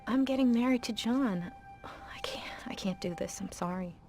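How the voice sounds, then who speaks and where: A woman playing a gentle, troubled young love interest in a video game cutscene, speaking softly and hesitantly. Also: medium pitch, moderate pace, natural voice. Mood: apologetic, upset. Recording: game music behind the voice.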